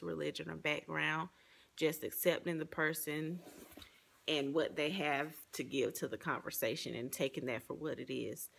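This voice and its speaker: A woman's voice: low-pitched, creaky, fairly bright tone. A woman giving an earnest, explanatory personal presentation, partly reading from notes.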